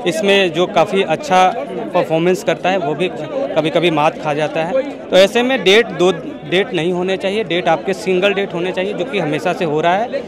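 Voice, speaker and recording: A male teacher with a high voice makes earnest, measured statements at an outdoor protest. A crowd is clearly heard around him. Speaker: coaching-institute teacher. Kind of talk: impromptu street interview.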